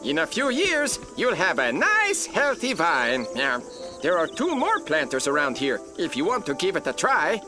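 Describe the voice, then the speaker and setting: A man's voice: fairly high pitch, wildly swooping pitch, normal speed. A man voicing a cheerful, naive, brainwashed alien fungus gardener in a game cutscene, chirpy and eager. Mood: chipper, helpful. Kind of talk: animated game cutscene acting. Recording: music behind voice.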